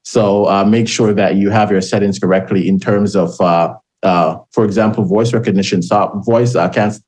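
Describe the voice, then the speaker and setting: A Jamaican man hosting a panel, his voice sitting on the low side. He talks in a halting way, stopping and restarting his sentences with frequent fillers. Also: steady tone, darkish tone. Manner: hesitant, many fillers and restarts. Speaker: podcast host. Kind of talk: panel discussion.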